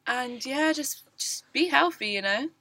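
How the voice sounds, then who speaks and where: A woman's voice: high-pitched, animated, a little slow, sharp tone, no echo, rough recording. A young woman co-hosting a podcast, chatting casually, giggly and self-mocking with frequent ums. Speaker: podcast co-host. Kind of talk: casual chat.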